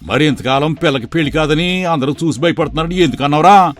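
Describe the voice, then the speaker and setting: A man voicing a scheming middle-aged uncle in an animated story, sly and wheedling. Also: on the high side, fast talker, soft background music, lightly acted. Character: scheming middle-aged man plotting to seize his sister's estate.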